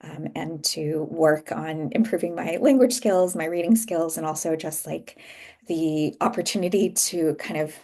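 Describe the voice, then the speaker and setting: An American woman in her thirties, a guest author talking thoughtfully about her book over a video call, with frequent pauses. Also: sits low. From United States. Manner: thoughtful, soft-spoken, pauses often. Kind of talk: reflective conversation.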